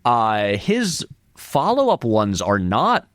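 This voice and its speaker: A man's voice: medium pitch, wildly swooping pitch, slow, no echo, studio quality. A man giving casual, conversational film commentary as an interview guest, thinking aloud in a rambling way and leaning on key words. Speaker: interview guest, filmmaker and video essayist.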